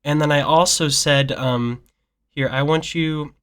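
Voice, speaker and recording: A man's voice: a little high, steady tone, a little slow, a bit bright, smooth. A young man talking earnestly with a relative in a casual call, rambling with many fillers. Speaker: nephew. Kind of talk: casual phone chat.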